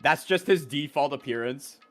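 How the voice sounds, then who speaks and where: A man's voice: fairly high pitch, normal speed. A man chatting casually as a co-streamer on a game stream, chiming in with short remarks. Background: music.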